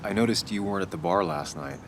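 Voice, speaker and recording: A man's voice: fast talker, noisy background, natural voice. An actor playing a reserved, awkward man back in his hometown in a video game, speaking tersely and dryly. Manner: low-key, terse, hesitant, dry. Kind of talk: video game dialogue acting.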